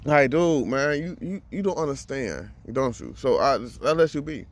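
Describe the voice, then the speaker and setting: A man's voice: medium pitch, expressive, moderate pace. A man in his thirties talking bluntly and profanely in a casual monologue, often repeating words as he gathers his thoughts. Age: 30s. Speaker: vlogger.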